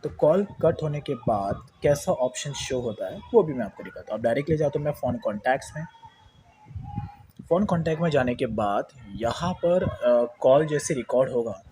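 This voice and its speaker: A man's voice: medium pitch, animated, moderate pace, mellow, slight background noise. A man with an Indian accent giving a casual step-by-step phone tutorial, explaining repetitively and mixing in English words. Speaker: tech tutorial host.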